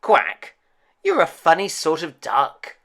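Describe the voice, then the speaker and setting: A fussy storybook mother duck, voiced by a man, loud and quacking. She sounds puzzled and doubtful, her pitch jumping about as she talks. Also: wildly swooping pitch, slow pace, exaggerated voice.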